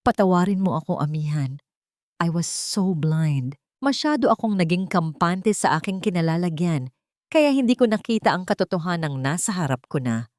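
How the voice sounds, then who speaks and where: A woman's voice: fairly low pitch, lively intonation, normal speed, darkish tone, no room sound, clean studio sound, natural voice. A woman with a Filipino accent voicing a remorseful, protective young father in an audiobook drama, tender and earnest. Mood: remorseful, pleading. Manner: tender, earnest, broken-voiced.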